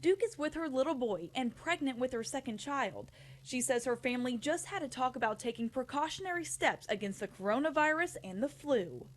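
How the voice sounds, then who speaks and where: A woman's voice: sits high, expressive, brisk. A woman reporter narrating a scripted TV news report.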